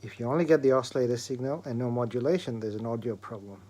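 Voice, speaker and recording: A man's voice: mid-range voice, fast talker, mellow. A man presenting an electronics repair demonstration, explaining things step by step in an informal way.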